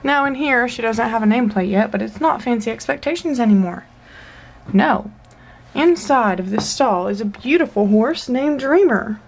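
A woman's voice: on the high side, animated, moderate pace, creaky, a little hiss or hum. A teenage girl casually filming a tour of her toy horse collection in her bedroom, chatty and gushing with lots of fillers. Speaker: vlogger. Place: bedroom at home. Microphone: handheld camera mic.

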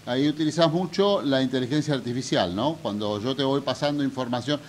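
A man's voice: medium pitch, brisk. Older Argentine male host, casual, chatty questioner; faint room noise on a studio mic. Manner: interjecting, colloquial, restarts questions. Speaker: radio host. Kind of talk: interview questions. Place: radio studio. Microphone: studio boom mic with headphones.

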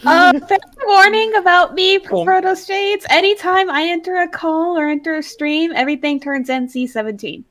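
A woman's voice: very high voice, normal speed, few pauses, fairly bright tone. A woman chatting on a group voice call in a flirty, teasing way. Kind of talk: casual chat on a group voice call.

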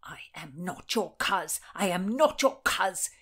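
A woman's voice: fairly low pitch, normal speed, fairly bright tone. A woman voicing a haughty, hot-tempered young Tudor nobleman in an audiobook, indignant and spluttering. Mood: furious. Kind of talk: audiobook character dialogue.

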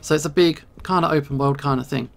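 A man giving casual let's play commentary, thinking aloud in short, halting remarks. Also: on the high side, steady tone, vocal fry. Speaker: video game player and host.

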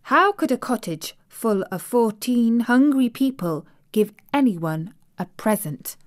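A woman voicing a prim, sheltered young girl who speaks in a proper, measured way. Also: a little high, animated, pitched above the performer's own voice. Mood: surprised.

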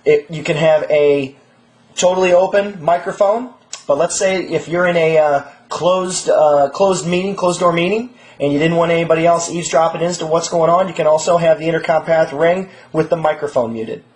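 Higher-pitched man presenting a demo. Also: steady tone, normal speed. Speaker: presenter, small business product manager. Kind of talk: informal product demonstration tutorial.

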